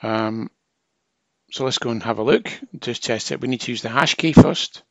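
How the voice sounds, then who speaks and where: A man's voice: mid-range voice, moderate pace, no room sound. Man giving a dry-sounding technical walkthrough. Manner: informal, step-by-step explaining. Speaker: technical trainer. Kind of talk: hands-on tutorial narration.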